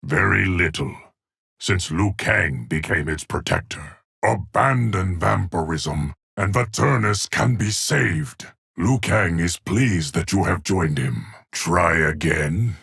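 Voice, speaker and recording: A man playing a stern, ancient keeper of time in a fighting video game, with a gravelly, husky voice and a formal, portentous delivery. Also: sits low, animated, slow pace, fairly bright tone, no echo, clean studio sound, exaggerated voice. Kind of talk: dramatic fighting game character lines.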